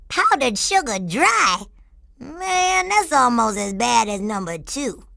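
Cartoon game role: tough, sassy young girl agent, gravelly and animated, slangy attitude. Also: on the high side, dry recording, clean studio sound, exaggerated voice. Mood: cocky, indignant. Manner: streetwise slang, refers to herself in third person. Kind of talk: cartoon video game voice acting.

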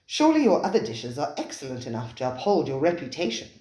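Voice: medium pitch, wildly swooping pitch, fast talker, mellow. A reader voicing an uneasy, timid man who speaks faintly and hesitantly. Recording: roomy echoing room.

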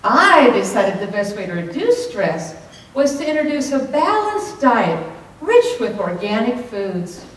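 A middle-aged woman performing a comedic tall tale on stage, with theatrical, deliberate delivery and dramatic pauses. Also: on the high side, big pitch swings. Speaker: speech contest contestant. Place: hotel banquet room, indoors. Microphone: far camera microphone. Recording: echoey room from far off, some noise.

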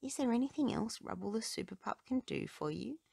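Storybook puppy female performer: young male would-be superhero, eager, earnest, higher voice, emphatic. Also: lively intonation, brisk, gravelly, dark tone, breathy edge, dry recording, studio quality, full character voice.

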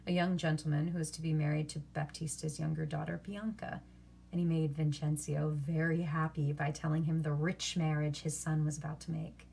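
A woman's voice: sits low, vocal fry, darkish tone, a little hiss or hum. A young woman reading a story aloud expressively, as an actor does at storytime. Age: young adult. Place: small bedroom, indoors.

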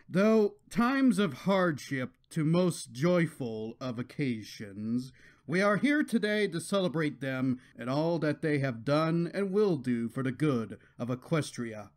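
Man playing a slow, stately pony king. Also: fairly high pitch, sing-song. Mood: proud, ceremonial. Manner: grand, formal, proclaiming. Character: stately male pony king and father addressing his subjects. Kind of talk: animated cartoon ceremonial speech.

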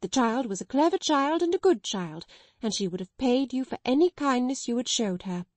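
A woman's voice: expressive, moderate pace, mellow, dry recording. A woman voicing a timid middle-aged spinster schoolmistress who breaks into tearful, hysterical accusations. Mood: hysterical, accusing. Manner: tearful, pleading, shrill outbursts.

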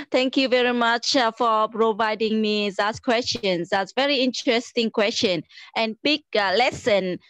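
Slow, polite Vietnamese-accented woman. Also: a little high, a bit bright, dry recording. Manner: hesitant, many fillers, polite. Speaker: guest. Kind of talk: casual video call chat. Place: small room indoors. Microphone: video call. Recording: dull lo-fi call audio.

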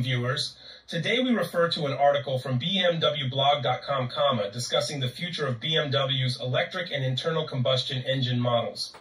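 A man's voice: medium pitch, fairly bright tone. An AI-generated man's voice reading short auto news clips with stiff announcer greetings, heard played back over speakers. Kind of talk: scripted news-clip narration. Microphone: played through speakers, picked up by room mic. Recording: echoey playback heard from far off.

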